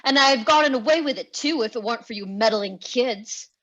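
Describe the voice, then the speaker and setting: A woman's voice: on the high side, fairly quick, a bit bright, muffled recording. A woman playing a cornered cartoon villain, protesting and self-justifying in a melodramatic way. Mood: defiant confession.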